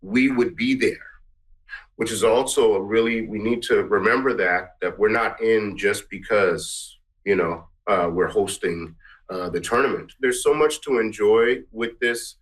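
A middle-aged musician from Guyana answering interview questions in an earnest, rambling way. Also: male voice, on the low side, measured pace, poor audio. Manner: earnest, rambling, many restarts. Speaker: musician and composer.

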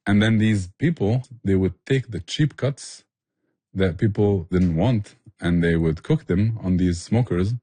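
A man's voice: deep voice, measured pace, mellow, husky, dry recording. A man explaining things with passion in a conversational interview. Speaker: barbecue restaurant owner and chef, interview guest.

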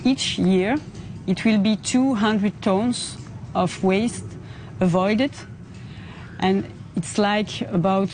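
A woman's voice: medium pitch, slow, a little mellow, a little hiss or hum, low-quality recording. A woman giving an explanatory interview answer as a service representative.

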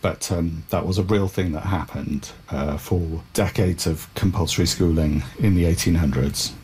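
A man's voice: sits low, moderate pace, darkish tone, slight background noise. A middle-aged man, talking reflectively and articulately as an interview guest, in a thoughtful storytelling way. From South Wales.

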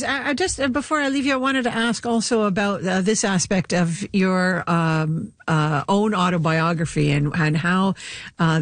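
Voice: medium pitch, dry recording. Interviewer with a dry close sound. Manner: encouraging, frequent backchannel. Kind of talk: interview questions.

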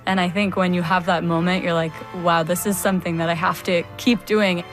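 A woman's voice: on the low side, little pitch movement, brisk, faint music behind. A woman from Virginia, an opera competition finalist, thoughtfully describing how opera grew on her. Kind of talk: recorded radio interview clip.

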